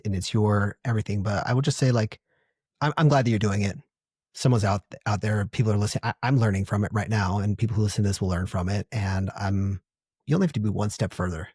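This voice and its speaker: A man's voice: on the low side, a little mellow, husky, no echo, clean studio sound. A man hosting a podcast, encouraging and enthusiastic, often stumbling and repeating words. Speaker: podcast host. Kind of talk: casual interview.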